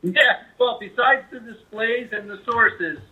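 A man speaking as a company representative, giving a conversational product pitch as an interview guest over a phone line. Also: a little slow. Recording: tinny narrow-band phone line.

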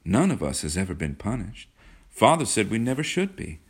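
A man acts out a naive rich teenage girl in a storybook. The delivery is gushing and earnest, proud yet coy. The pitch is lifted well up and moves about in lively swings. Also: a little fast, slightly rough, full character voice. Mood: proud, chatty. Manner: gushing, ingenuous.

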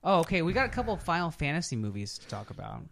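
A man's voice: a little high, very animated, racing, vocal fry, a bit bright, a little hiss or hum. A man hosting a podcast, chatting casually in a rambling, enthusiastic, geeky explaining way. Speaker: podcast host. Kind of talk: casual chat about video game movies.

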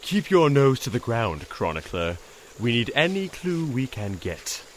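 An actor voicing an enormous talking toad, dignified, cryptic and dryly witty, with formal, measured speech in an audio drama. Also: male voice, mid-range voice, very animated, slight background noise. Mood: stern.

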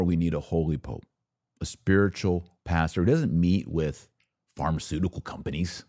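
Very deep-voiced American man, sarcastic commentator. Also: warm tone, no room sound, clean studio sound. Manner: sarcastic, emphatic, repeats phrases for effect. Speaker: podcast host and commentator. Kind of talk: opinionated solo podcast commentary, reading quotes and reacting.

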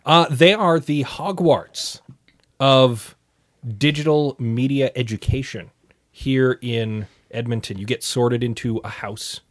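A man's voice: medium pitch, slow pace, no echo, clean studio sound. A man speaking as a podcast co-host. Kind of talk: casual podcast banter.

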